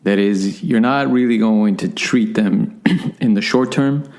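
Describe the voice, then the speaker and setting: A man co-hosting a relationship podcast as the psychology expert, explaining terms in a measured way. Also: lowish voice, creaky, darkish tone.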